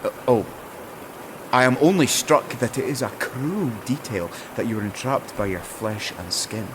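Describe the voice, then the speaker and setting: A man's voice: mid-range voice, moderate pace, background noise, close to an everyday voice. A man playing a bold, courtly would-be king in a fantasy audio drama, with gallant, flowery, formal speech. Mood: earnest.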